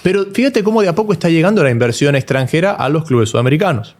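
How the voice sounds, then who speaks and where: A man's voice: fairly high pitch, lively intonation, fast talker, darkish tone. A man from Ecuador co-hosting a casual football talk show, thinking aloud with frequent restarts. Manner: thoughtful, hesitant restarts. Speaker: co-host.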